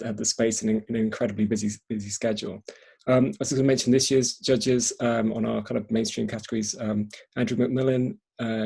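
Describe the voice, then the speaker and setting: A man moderating a panel discussion in a husky, gravelly voice. He speaks informally and haltingly, doubling back on words as he steers from one question to the next. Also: mid-range voice, darkish tone. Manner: halting, repeating words as he goes. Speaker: event host and moderator.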